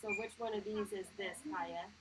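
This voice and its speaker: A woman's voice: mid-range voice, steady tone, normal speed, noisy background. A woman elementary teacher leading a read-aloud reading lesson for children, encouraging and emphatic, with plenty of questions.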